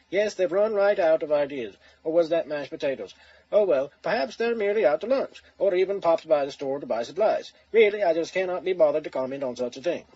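Man as a high, raspy, huffy robot reporter. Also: warm tone, no echo. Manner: fussy, indignant, rambling. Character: huffy, rambling male robot roving reporter. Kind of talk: comic audio drama, parody news report. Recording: dull, lo-fi audio.

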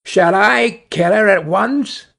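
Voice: medium pitch, slow, vocal fry, fairly bright tone, pitched above the performer's own voice. A man doing a fretful carter's wife. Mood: anxious. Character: frightened, angry carter's wife.

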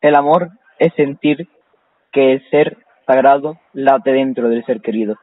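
Un hombre que interpreta a un hijo adulto obtuso, evasivo e impasible en una broma telefónica. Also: male voice, mid-range voice, a little slow, no echo, lightly acted. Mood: deadpan. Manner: deadpan, evasive. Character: unhurried, obtuse grown son handling household bills. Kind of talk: prank phone call. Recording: muffled, tinny phone line.

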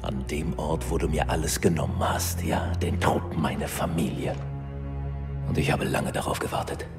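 An actor playing a cold, gloating, vengeful officer in a video game cutscene, speaking in a measured, deliberate way. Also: male voice, a bass voice, steady tone. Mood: cold, bitter. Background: music.

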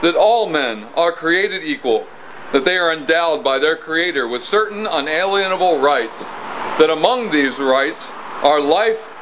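A middle-aged American man playing a solemn colonial townsman, reading formally in a projected, measured, declamatory way. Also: a little high, animated. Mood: solemn, proclaiming. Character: solemn colonial townsman proclaiming a public document. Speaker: costumed historical reenactor. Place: outdoors on a stone house porch. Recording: muffled outdoor recording with clear ambient noise.

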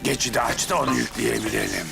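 A man voicing a menacing, smug alien reptile bounty hunter in a Turkish-dubbed cartoon, gravelly and taunting. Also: medium pitch, lively intonation, quick pace. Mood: cold, commanding. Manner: taunting, commanding. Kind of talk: dubbed cartoon villain acting. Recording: loud music under the voice.

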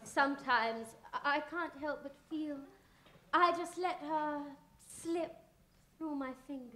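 A woman playing a manic, lovelorn, boastful villainess in a stage pantomime, theatrical and swinging between hushed wistfulness and shrieking. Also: high voice, slow, slight background noise, heavily acted. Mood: wistful. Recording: stage show recorded from audience.

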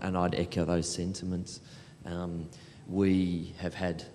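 Middle-aged male panelist, deep voice, explanatory delivery, hall reverb with some background noise. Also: dark tone. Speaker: panelist, programme administrator. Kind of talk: panel discussion talk, explanatory. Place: large hall panel event with audience. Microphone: handheld microphone through hall. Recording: echoey hall, low rumbling noise.

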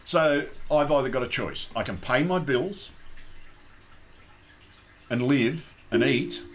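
A man's voice: mid-range voice, takes it slowly, poor audio. A man with an Australian accent hosting a casual call-in show, rambling and chatty with lots of ums and restarts.

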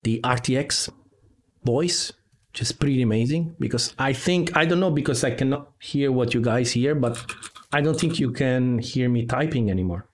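Male streamer, forties, mid pitch, casual and halting delivery at a desk mic. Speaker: live streamer, game developer. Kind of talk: casual live stream chat. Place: home room with guitars on the wall. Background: computer keyboard typing at times.

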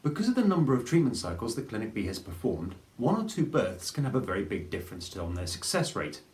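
Man explaining clearly, roomy studio. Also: fairly low pitch, big pitch swings, a little mellow. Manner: clear, measured, explanatory delivery. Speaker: fertility advice presenter. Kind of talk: scripted explainer to camera. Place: plain studio with grey backdrop.

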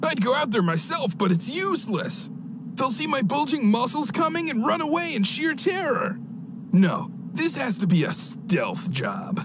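A man's voice: extremely high pitch, huge pitch swings, unhurried, background noise, heavily acted. A man voicing a vain, boastful teenage alien slacker in a cartoon game, cocky and melodramatic. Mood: self-important.

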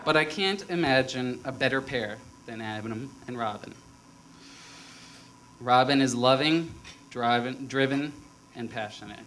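A man's voice: medium pitch, measured pace, a bit bright, slight background noise, poor audio. Best man, teasing and playful, plain mid voice. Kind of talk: wedding toast. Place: wedding reception hall. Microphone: handheld mic.

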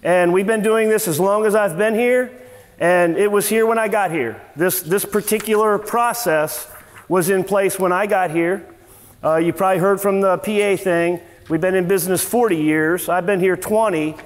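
A middle-aged man with a fairly high voice gives a casual, rambling seminar, full of fillers and restarts. He stands in a large workshop garage, heard from a distant camera. The sound rings with a long echo off the hard walls. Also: normal speed. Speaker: service manager. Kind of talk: informal seminar talk. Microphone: far camera, no mic on him. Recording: echoey garage from far off.

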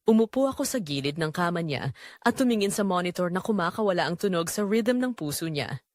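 A woman's voice: medium pitch, dry recording, clean studio sound. A woman playing a remorseful, heartbroken wife who narrates her own story in an emotive, confessional way, as in an audio drama. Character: guilt-ridden wife Rosa, remorseful and heartbroken.

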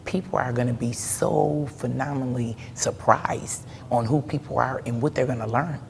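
A woman's voice: very deep voice, fairly even intonation, normal speed, vocal fry, a little mellow. A middle-aged woman who owns a radio station, answering interview questions in an upbeat, proud way. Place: indoor exhibit hall. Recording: hall reverb, faint noise.

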